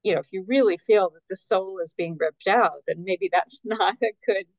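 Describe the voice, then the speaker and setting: A woman, an editor guest in an interview, answering in a thoughtful, measured way with some hesitations. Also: mid-range voice, no echo. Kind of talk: conversational interview. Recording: dull, dry, lo-fi sound.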